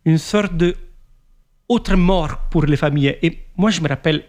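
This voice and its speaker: Man, Italian accent, fairly high voice, thoughtful, hesitant, restarts often, author interview. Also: normal speed, a little mellow. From Italy. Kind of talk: reflective conversational answers.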